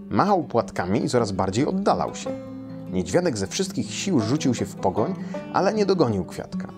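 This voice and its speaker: A man, a storyteller reading a children's tale aloud in a room. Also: on the low side. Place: small furnished room, indoors.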